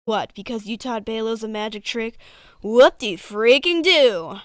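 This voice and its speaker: A woman's voice: fairly high pitch, lively intonation, measured pace, dry recording, studio quality. Woman acting a brash, snarky teenage witch girl. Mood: scornful, heated. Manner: snarky, blunt, exasperated. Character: brash, sarcastic athletic three-eyed teenage witch girl. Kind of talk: comic dub acting.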